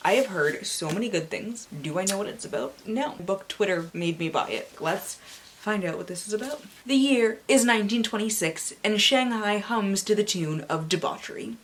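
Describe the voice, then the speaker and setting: A woman's voice: mid-range voice, expressive, normal speed. A North American woman hosting a book vlog in a playful, theatrical manner, mixing casual chat with dramatic readings and asides. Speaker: book channel host. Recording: clearly audible background hiss.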